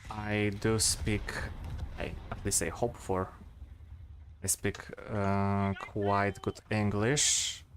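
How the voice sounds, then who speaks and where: A man in his twenties with a Polish accent, chatting casually to stream viewers and thinking aloud, with pauses and fillers. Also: lowish voice, level tone, a little hiss or hum.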